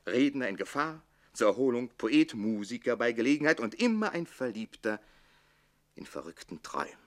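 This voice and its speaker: A man playing a witty, self-pitying barber-servant in a radio drama, declaiming theatrically between exuberance and hushed lament. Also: mid-range voice, sing-song, normal speed, natural voice. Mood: lamenting, ironic.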